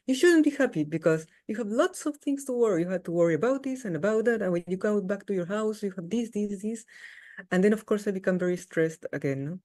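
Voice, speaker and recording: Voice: medium pitch, a little mellow. A caller on a video call describing worries at length, laughing often and ending sentences with tag questions.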